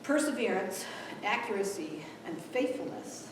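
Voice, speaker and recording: A woman giving a live conference talk, animated and acting out quoted dialogue, who grew up in Ethiopia. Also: medium pitch, unhurried, background noise. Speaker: conference speaker. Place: large echoey hall. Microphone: distant room mic. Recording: echoey hall from far off.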